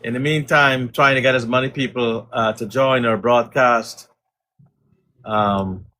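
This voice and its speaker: A middle-aged man from Guyana hosting a casual livestream as a community leader, talking in a halting way with many fillers. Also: medium pitch, fairly even intonation, bright, forceful tone, a little hiss or hum.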